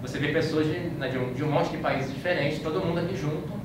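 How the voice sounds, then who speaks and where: A man's voice: a little high, fast talker. A man from Brazil with a Brazilian Portuguese accent gives an informal slide-show talk in a conversational, anecdotal way, often adding little checking tags. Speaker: speaker giving a talk about his trip. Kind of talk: informal slide-show lecture, recounting travels. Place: indoor room, live talk to an audience. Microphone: far from the speaker. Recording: echoey room, distant mic, clear background noise.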